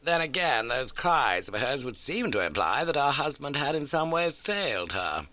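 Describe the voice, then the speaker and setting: A man voicing a brisk, keen, masterful male detective in an audiobook, with emphatic, animated and incisive delivery. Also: medium pitch, no echo, voice pushed up. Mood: animated puzzling. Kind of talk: audiobook character dialogue.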